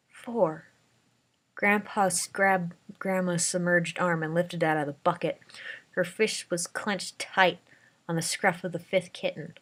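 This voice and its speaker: A woman's voice: on the low side, a bit bright. A woman reading a dramatic first-person story aloud as an amateur narrator.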